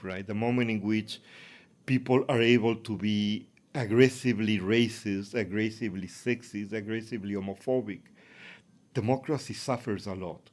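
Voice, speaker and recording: Low-voiced man with Ecuadorian Spanish accent. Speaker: academic guest, professor. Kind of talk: reflective interview answers.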